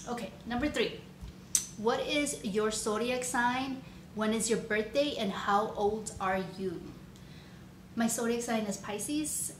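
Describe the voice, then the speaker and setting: A woman's voice: on the high side, slow, a little hiss or hum. Chatty woman in her thirties, echoey room. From Chicago, United States. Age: 30s. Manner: chatty, rambling, frequent ums and likes. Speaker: nail artist vlogger. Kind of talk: casual Q&A vlog. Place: home room with shelves, indoors.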